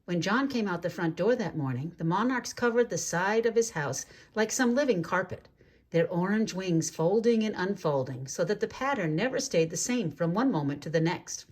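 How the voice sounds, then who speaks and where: Woman reading a storybook with lively pitch swings. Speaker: storybook reader. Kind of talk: read-aloud children's story narration with asides.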